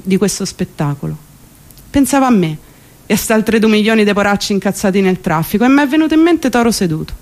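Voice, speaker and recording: A woman's voice: medium pitch, a little mellow. A woman performing a comic theatrical monologue, colloquial, wry and emphatic. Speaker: stage actress and monologist.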